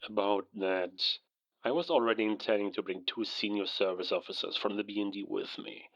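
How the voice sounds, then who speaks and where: A male actor plays a stern intelligence superior in a spy audio drama, heard through a dull telephone line. His low voice is formal and pointed, full of skeptical condescension. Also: brisk. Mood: scornful, pressing. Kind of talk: cinematic audio roleplay acting. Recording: dull, band-limited telephone call.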